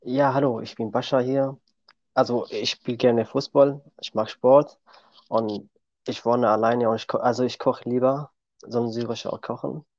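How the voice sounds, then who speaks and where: A man's voice: mid-range voice, fairly even intonation, normal speed, a little mellow, dry recording. Young man, dull dry sound. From Syria. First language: Kurdish. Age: 20s. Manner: plain, simple sentences. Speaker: guest. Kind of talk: podcast interview.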